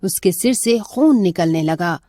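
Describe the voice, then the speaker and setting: A woman's voice: fairly low pitch, expressive, measured pace, dark tone. A woman narrating a scripted dramatic story as a storyteller.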